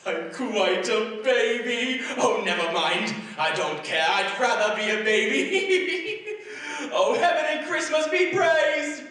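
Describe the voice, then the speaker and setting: A man acting out a remorseful old miser in a radio play. His high voice echoes in a big hall, heard from a distance. He pleads and exclaims with stagey force. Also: lively intonation, takes it slowly, sharp tone, full character voice. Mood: joyful. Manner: pleading and theatrical. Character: old male miser, remorseful then overjoyed. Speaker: Scrooge. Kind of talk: 1940s style radio drama acting. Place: theater stage.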